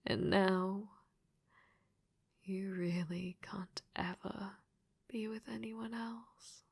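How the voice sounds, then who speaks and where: Woman playing a possessive female game AI, unhurried delivery, breathy and whispery, sweetly menacing, clingy, ASMR roleplay. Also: medium pitch, steady tone, darkish tone, heavily acted. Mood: adoring, possessive. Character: possessive, clingy female dating-sim AI in robot body. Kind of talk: ASMR audio roleplay acting.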